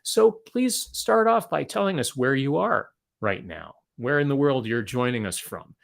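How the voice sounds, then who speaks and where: A man hosting an interview over a video call, measured and inviting as he sets up questions. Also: mid-range voice, lively intonation, creaky. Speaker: host.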